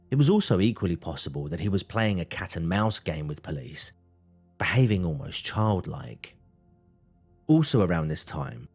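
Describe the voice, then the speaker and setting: Male narrator for scripted true crime, deep register, faint music bed.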